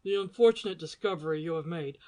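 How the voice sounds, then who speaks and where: A woman reading an audiobook, voicing a grave, secretive gentleman who speaks low, hushed and stiff. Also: fast, natural voice.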